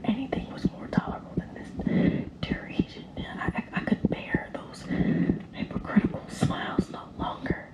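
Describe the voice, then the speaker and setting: A woman voicing a vampire storyteller who confesses a murder in a nervous, breathy, dramatic whisper for an ASMR story. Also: moderate pace, roomy sound, lo-fi sound, close to an everyday voice. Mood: frantic, agonized.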